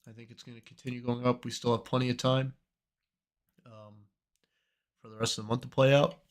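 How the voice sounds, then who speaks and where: A young man with a cold, slightly hoarse, chatting in a relaxed, rambling way as host of a trading livestream. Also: medium pitch, brisk, slight background noise. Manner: relaxed, rambling, many fillers. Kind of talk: casual livestream chat.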